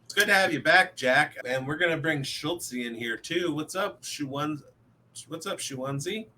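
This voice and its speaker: A man's voice: on the high side, normal speed, bright, forceful tone. A man hosting a casual podcast, upbeat and teasing with his guests. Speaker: podcast host. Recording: faint background hiss.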